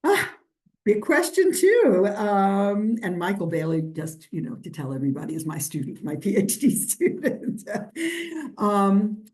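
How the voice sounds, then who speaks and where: A woman's voice: lowish voice, darkish tone. An older woman from New York, a historian answering questions at a lecture, talking in a rambling way with many restarts and fillers. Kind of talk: conversational lecture Q&A.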